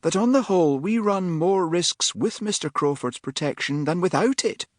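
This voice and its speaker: A forthright young woman from an audiobook, plain-spoken and matter-of-fact, with a low-voiced man lifting his voice high to play her. Her pitch moves in a lively way. The sound is dry and close. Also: normal speed, no echo.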